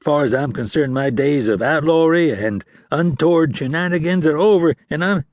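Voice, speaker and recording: A man's voice: a little high, lively intonation, a little mellow, dry recording, pitched above the performer's own voice, natural voice. A man voicing a chatty, sly male outlaw prisoner who wheedles and pleads in a raised voice. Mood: wheedling. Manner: wheedling, fast-talking, pleading.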